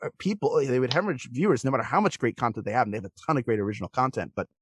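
A man's voice: medium pitch, very animated, racing, slightly rough, dry recording. A man talking casually as a guest on a podcast.

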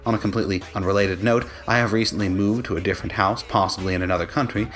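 A man reading a scripted formal letter as an editor, with a deadpan, officious manner. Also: fairly low pitch, rapid-fire. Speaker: managing editor.

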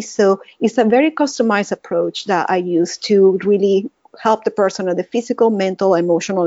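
A woman's voice: mid-range voice, warm tone, no echo, dull audio. A woman from Venezuela with a Venezuelan Spanish accent, a holistic wellness coach telling her story in an interview, earnest and reflective, with a few restarts. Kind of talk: podcast interview, personal story.

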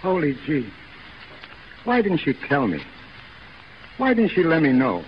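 A man's voice: fairly high pitch, wildly swooping pitch, unhurried, slight background noise. A man acting in a 1930s radio drama as a brash, hard-drinking burlesque comedian with breezy, slangy patter. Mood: shaken. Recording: muffled 1930s radio broadcast, music underneath.

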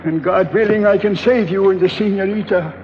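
High-voiced, plaintive old refugee man, acted. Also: steady tone, fairly quick, close to an everyday voice. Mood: earnest, resolute. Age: older. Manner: earnest, formal, plaintive. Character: sick, humble, hopeful old male refugee. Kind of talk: 1950s television drama acting. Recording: dull, noisy vintage broadcast soundtrack.

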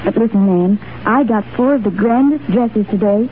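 A woman's voice: medium pitch, normal speed, lightly acted. An actress playing a chirpy, thrifty young woman in a 1930s radio commercial, gushing in a salesy way. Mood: cheerful, gushing. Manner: bright gushing salesy chatter. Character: chirpy, thrifty young sister gushing about her shopping. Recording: tinny, hissy 1930s radio recording.

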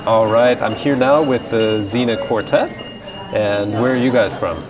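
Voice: mid-range voice, normal speed, music in the background. An interviewer hosting an informal on-location conversation, talking in an encouraging, conversational way. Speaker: interviewer, host. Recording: busy crowd chatter behind. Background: crowd chatter.

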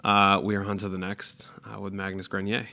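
A man with a low, forceful voice introducing a podcast interview. He rambles loosely, swearing casually between topics. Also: level tone, fairly quick, gravelly. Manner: rambling, profane. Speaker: podcast host.

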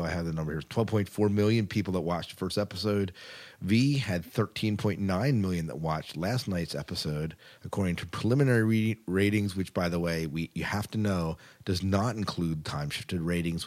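A man with a deep voice speaks as a podcast co-host. He delivers science fiction news and commentary in a casual, conversational style.

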